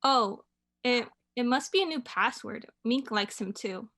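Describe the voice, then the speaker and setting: Woman acting a patient, higher-voiced radio mother. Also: lively intonation. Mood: friendly, chatty. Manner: gently chiding, unhurried. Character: patient, indulgent, mildly amused mother of a child.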